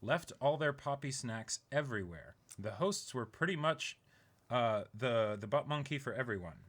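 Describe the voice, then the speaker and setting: A young man with a bright, crisp-edged voice sits in a small room hosting a casual video. He reads aloud in an informal, halting way, prone to chuckling between phrases. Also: medium pitch, expressive. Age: young adult. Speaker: YouTube unboxing host. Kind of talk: reading a viewer letter aloud, casual. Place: small indoor room, seated.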